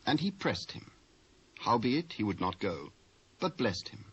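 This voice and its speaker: A man's voice: lowish voice, sing-song, measured pace, slightly rough. A British man giving a scripted dramatic narration of the Bible in formal archaic English. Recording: dull old cassette transfer.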